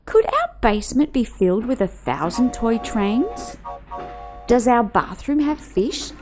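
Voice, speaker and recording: An excitable little boy from a storybook, voiced by a woman, full of wonder and secret plans. The pitch is high and swoops freely as he confides in his teddy at an unhurried pace, with music behind him. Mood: excited, conspiratorial. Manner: wonder-filled, conspiratorial. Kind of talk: children's storybook character reading.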